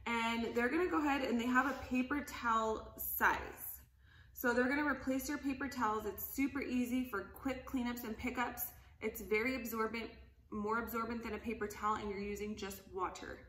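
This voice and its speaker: A woman's voice: fairly high pitch, fairly even intonation, moderate pace, fairly bright tone, echoey room, slight background noise, low-quality recording. A woman in her thirties chatting enthusiastically as she demonstrates cleaning products, laughing often, in a casual home video. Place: living room, indoors, at a table.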